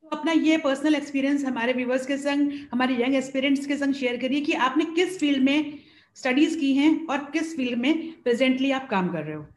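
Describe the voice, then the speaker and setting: A woman's voice: high voice, fairly quick. A woman hosting an interview, asking questions in Hindi with English phrases mixed in. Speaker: host.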